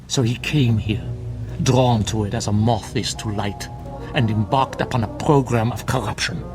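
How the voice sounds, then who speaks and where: An actor playing a grave, fervent older monk in a radio drama, speaking in formal, measured, preachy phrases. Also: male voice, mid-range voice, lightly acted. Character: solemn bearded older monk, fervent guardian of truth. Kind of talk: classic radio drama acting.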